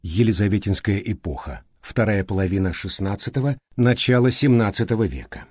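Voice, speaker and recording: Male audiobook narrator, dry close sound, measured literary delivery, mellow tone. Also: lowish voice, animated, breathy edge, no room sound.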